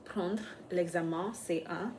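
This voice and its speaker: A woman speaking French as a second language, talking unscripted in a vlog, pausing often and searching for words. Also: fairly low pitch, darkish tone, slight background noise. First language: not French. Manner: hesitant, many pauses and fillers, searching for words. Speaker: French learner vlogger.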